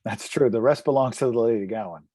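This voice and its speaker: A man's voice: medium pitch, quick pace, dark tone, slightly rough. A man playing a tabletop game, casually chatting and describing what his character does.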